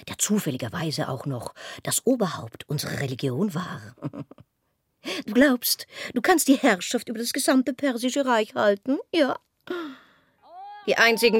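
A woman playing a haughty, scheming Persian queen in a radio play, sharp-tongued, commanding and threatening. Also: mid-range voice, no echo, clean studio sound, close to an everyday voice.

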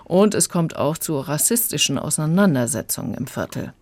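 A woman audiobook critic giving a measured, explanatory review in a radio conversation. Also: sits low, lively intonation, darkish tone, dry recording.

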